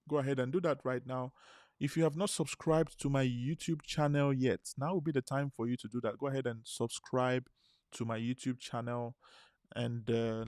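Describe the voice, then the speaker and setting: Guy hosting a live webinar, pushy sales pitch, keeps repeating himself, mid pitch, dead dry close mic. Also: a little mellow, no room sound. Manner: urgent, persuasive, repeats phrases. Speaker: webinar host.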